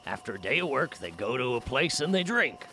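A man playing a gruff, weary, hard-drinking character in an audio drama, with a gravelly voice and a sardonic, folksy way of talking. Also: mid-range voice, sing-song, a little fast, bright, forceful tone, a little hiss or hum, rough recording. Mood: wry.

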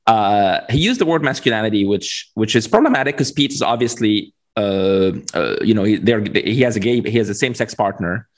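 A man's voice: lowish voice, expressive, brisk, few pauses. A man, talking casually and explaining his points as a guest in an interview over a video call. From Egypt. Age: 40s. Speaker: interview guest, venture capitalist.

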